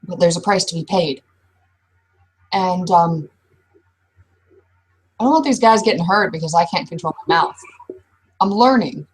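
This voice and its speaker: Woman playing a husky, guarded werewolf woman. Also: on the low side, poor audio. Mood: guarded, blunt. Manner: halting, hesitant, blunt. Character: guarded, mouthy young werewolf woman with old scars. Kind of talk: improvised in-character roleplay dialogue.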